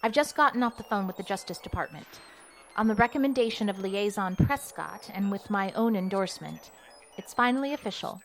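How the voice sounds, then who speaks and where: An actress plays a woman in command of a spy agency, in a mid-pitched voice. She is formal, measured and dry, with authority in an audio comedy. Faint music runs behind her. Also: animated, lightly acted. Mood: official, dry. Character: composed, authoritative female spy agency section chief. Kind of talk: comedic audio drama acting.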